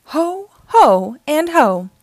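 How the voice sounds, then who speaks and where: A woman voicing a playful, patient cartoon dog father in a storybook, talking in a gently instructive way. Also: sits high, very animated, drawn-out words, no echo, voice pushed up, full character voice.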